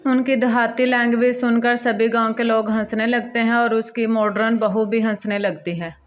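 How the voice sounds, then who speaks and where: Even, high-voiced woman storyteller, dull sound. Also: moderate pace, soft background music. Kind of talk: scripted cartoon story narration.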